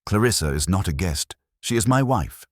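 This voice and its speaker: A man's voice: low voice, animated, moderate pace, darkish tone, no room sound, clean studio sound. A man voicing a proud, calculating society host in a mystery story, cornered but defiant, terse and bitter. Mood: defensive.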